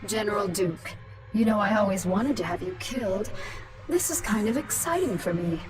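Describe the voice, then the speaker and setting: A woman's voice: mid-range voice, lively intonation, moderate pace, recorded from a distance, noisy background, close to an everyday voice. An actress playing a ruthless, scheming alien queen who speaks in a menacing, mocking, commanding way in a video game. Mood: gloating. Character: ruthless, scheming alien swarm queen, once human, cold and gloating. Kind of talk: dramatic video game voice acting.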